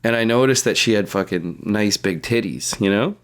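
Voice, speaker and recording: A man's voice: lowish voice, normal speed. A man in his early thirties chatting casually as a podcast co-host, telling joking anecdotes in slangy, swear-heavy language.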